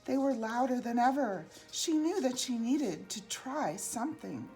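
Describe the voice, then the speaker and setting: A woman reading a rhyming children's picture book aloud in an expressive, singsong, deliberate way. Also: on the high side, faint music behind. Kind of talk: read-aloud children's storytelling in rhyme.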